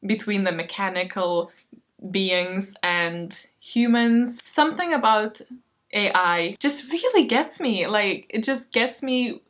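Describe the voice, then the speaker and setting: Casting: woman, informal book vlogger, mid-pitched voice, rambling and giggly, emphatic and expressive delivery. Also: slow pace. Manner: rambling, self-deprecating, giggly. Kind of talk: casual chatty vlog to camera.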